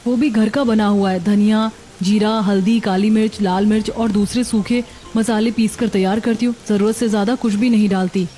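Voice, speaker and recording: A woman's voice: mid-range voice, fairly even intonation, normal speed, dark tone, lightly acted. A woman playing a patient, honest young daughter-in-law who runs a food stall in a cartoon. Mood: earnest, reassuring. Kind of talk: cartoon dialogue acting. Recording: background music under voice.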